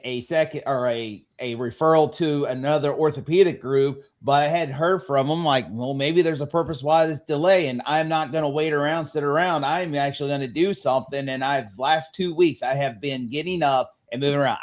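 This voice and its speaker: A man's voice: a little high, normal speed, few pauses. A man in his forties, a panel guest, plainly telling a personal story in casual chat. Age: 40s.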